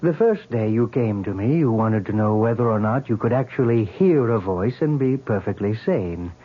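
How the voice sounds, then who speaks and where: An actor playing a composed male psychiatrist who probes with measured questions, in old-time radio drama style on a thin vintage recording. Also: on the low side, animated, phone-quality sound. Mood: probing, challenging.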